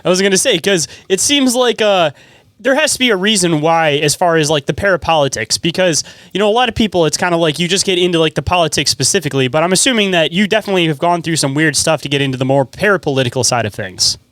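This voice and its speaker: Male podcast host, slightly high voice, very quick, casual and roundabout, close dry sound. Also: fairly bright tone, no room sound. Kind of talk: casual conversational interview.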